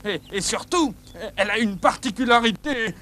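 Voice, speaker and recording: A man's voice: high-pitched, lively intonation, moderate pace, pushed and bright, a little hiss or hum. A man playing a kindly, fussy, grandfatherly old man in a children's show, patient and coaxing. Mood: explaining. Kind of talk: children's puppet show acting.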